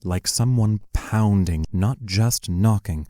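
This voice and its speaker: A man giving scripted narration of stage directions for an audio play in a measured, matter-of-fact way. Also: fairly low pitch, very noisy.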